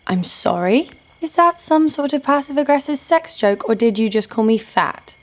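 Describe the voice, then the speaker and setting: A woman's voice: sits high, lively intonation, moderate pace. A young woman playing a sarcastic, foul-mouthed bounty hunter who narrates her own story in an audio drama. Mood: sarcastic, teasing. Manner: dry, sardonic. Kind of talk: first-person narration and dialogue in audio drama. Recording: music behind the voice.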